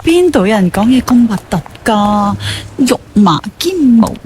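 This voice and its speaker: A woman's voice: mid-range voice, sing-song, measured pace, a little mellow, a little hiss or hum. An actress playing a clingy, coquettish young girlfriend who affectionately mock-scolds, in radio drama dialogue. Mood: mock-scolding.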